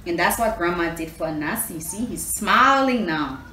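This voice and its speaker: A woman reading a children's storybook aloud expressively, pausing to explain and put questions to her young listeners. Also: on the low side, animated, sharp tone. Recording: echoey room, distant mic, faint noise.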